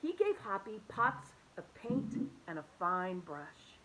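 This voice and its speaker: A woman reading a children's picture book aloud, expressive and animated, dropping to soft hushed tones. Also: mid-range voice, takes it slowly, noisy background. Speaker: children's story reader.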